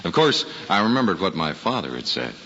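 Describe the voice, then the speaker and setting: A man's voice: sits low, sing-song, a little fast, bright, forceful tone, slight background noise, exaggerated voice. A man playing a grown son wryly narrating his boyhood memories, in an old-time radio drama. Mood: wry, nostalgic. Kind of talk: scripted first-person radio drama narration. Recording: muffled old radio recording. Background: music.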